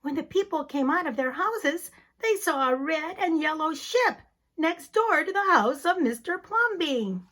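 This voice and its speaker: A woman teacher giving a dramatic, expressive reading of a children's picture book. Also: high-pitched, unhurried. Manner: expressive, theatrical storytelling.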